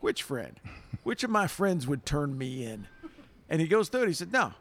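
A man's voice: fairly high pitch, big pitch swings, normal speed, fairly bright tone. Man from Kentucky chatting animatedly. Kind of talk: casual podcast chat.

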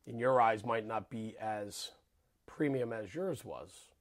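A man's voice: lowish voice, slow. A man hosting a podcast, bantering casually and teasing his guest, rambling with fillers. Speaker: podcast host. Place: studio with cameras.

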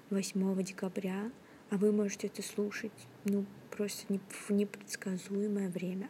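A woman's voice: medium pitch, dark tone, slight background noise. A young woman hosting her own podcast, rambling hesitantly with many fillers and restarts, often half-whispering into her phone at work. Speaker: podcast host. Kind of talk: casual rambling monologue. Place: at her workplace, indoors.

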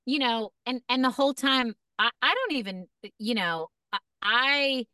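A woman voice actor chatting as a podcast guest, telling stories in an animated way with many restarts and fillers. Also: a little high, normal speed, clean tone, dry recording, distant mic. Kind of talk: casual podcast storytelling.

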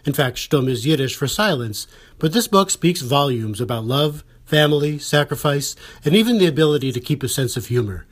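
A man's voice: fairly high pitch, expressive, a little fast. Man giving a scripted podcast introduction. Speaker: podcast host.

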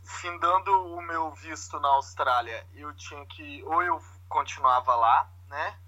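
Brazilian man with a very bright, forceful voice. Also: a little high, a little slow, highs cut off. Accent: Brazilian Portuguese. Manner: informal, slangy, anecdotal. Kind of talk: casual conversational chat.

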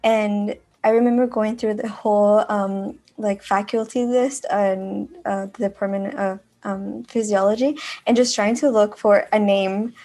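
Young woman from Mexico, halting conversational delivery. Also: medium pitch, fairly even intonation, warm tone. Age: young adult. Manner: halting, frequent filler "like". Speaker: university student panelist. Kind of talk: casual podcast discussion.

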